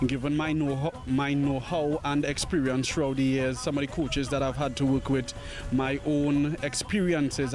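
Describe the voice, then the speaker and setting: A man, an athletics coach and camp presenter, explaining his program in a measured way with fillers, outdoors at a sports field. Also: medium pitch. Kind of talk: interview on camera.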